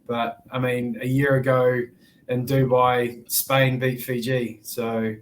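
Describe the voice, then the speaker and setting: A man with a New Zealand accent, a former sevens player chatting as a podcast guest in a relaxed, rambling way. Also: medium pitch, slow, a little hiss or hum. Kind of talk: casual podcast chat.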